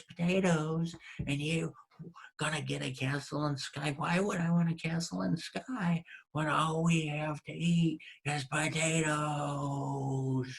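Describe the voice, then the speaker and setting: A scolding peasant wife who nags and repeats herself in exasperation, as a male storyteller puts her on. The voice stays close to his own, gravelly and husky, only slightly raised. It sounds like a folk-tale character spoken aloud. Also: fairly high pitch, voice pushed up, full character voice. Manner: nagging, exasperated, repetitive.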